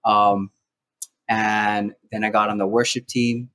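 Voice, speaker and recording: Man, from Maui, soft-spoken, halting, flat delivery, dry sound. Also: on the low side, no room sound. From Maui, Hawaii. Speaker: guest, house church pastor. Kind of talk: casual podcast conversation.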